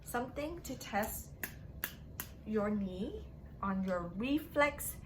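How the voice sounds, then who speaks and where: A woman reading and teaching for young children as a story-time host, in simple, drawn-out phrases addressed to the kids, on a noisy recording. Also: mid-range voice, lively intonation. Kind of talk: read-aloud narration and playful teaching to young children.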